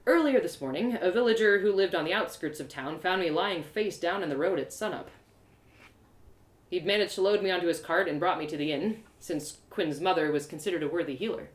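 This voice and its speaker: A woman narrating a first-person story live, in an expressive, theatrical storytelling manner. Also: on the low side, fairly quick, echoey room. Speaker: storyteller, audio drama creator.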